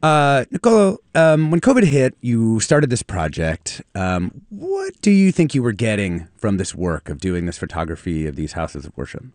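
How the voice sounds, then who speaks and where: Animated male talk show host, low voice, dry sound. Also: normal speed, hardly any pauses, no room sound. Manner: animated, emphatic intros. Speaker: radio host. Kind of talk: radio talk show hosting.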